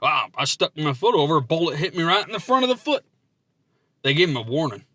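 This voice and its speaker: A man's voice: a little high, animated, quick pace, pushed and bright, no room sound. A man hosting a comedy podcast in casual banter, with a folksy, rambling, playfully naive way of talking.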